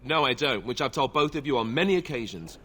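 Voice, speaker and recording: A man's voice: medium pitch, expressive, fast talker, a bit bright, a little hiss or hum, close to an everyday voice. Wry young reluctant heir played by a man. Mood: exasperated, wry. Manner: wry, informal. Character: reluctant young royal heir, self-deprecating, wry warrior. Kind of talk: fantasy video game acting.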